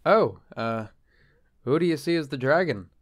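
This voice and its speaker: A man's voice: on the high side, expressive, normal speed, natural voice. A man playing an earnest, easily flustered bishop in an audio drama, formal and apologetic in manner. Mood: polite, formal. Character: flustered, earnest bishop, polite and harried husband. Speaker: actor in a drama.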